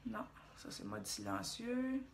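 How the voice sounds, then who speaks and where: A woman's voice: a little high, huge pitch swings, slow pace, soft background music. A woman in her forties with a Quebec French accent, chatting casually through a makeup tutorial and rambling as she thinks aloud. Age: 40s.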